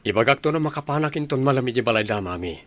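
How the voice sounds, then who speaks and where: A man's voice: medium pitch, animated, racing, close to an everyday voice. Guy doing lovestruck young groom-to-be, ilocano radio drama, mid voice, eager and sweet son. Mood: affectionate, eager. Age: young adult. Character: elated, lovestruck young bridegroom, an affectionate only son.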